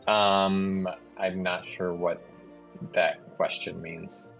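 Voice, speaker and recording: A man with a fairly low voice acts as game master, improvising descriptions in a casual way. He speaks haltingly, searching for words, with faint music underneath. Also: flat delivery, gravelly, a bit bright.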